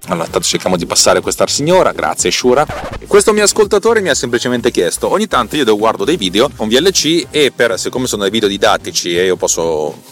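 A man's voice: lowish voice, sing-song, fairly bright tone. A man hosting a podcast, explaining technical things casually and off the cuff, often repeating words and correcting himself. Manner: rambling, halting, self-correcting, repeating words. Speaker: podcast host. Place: inside a car.

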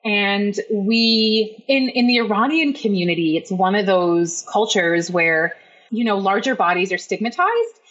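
A woman's voice: mid-range voice, unhurried, fairly bright tone, a little hiss or hum. Bubbly woman guest on muffled audio. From Iran, raised in Canada. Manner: bubbly, talkative. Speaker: guest, life coach. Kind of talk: podcast interview.

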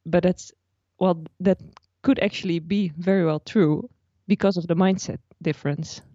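A woman's voice: lowish voice, fairly even intonation, moderate pace, dark tone, no room sound, highs cut off. A Dutch woman, a personal finance blogger, explaining her ideas at length as a guest in a podcast interview, with frequent restarts. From Netherlands.

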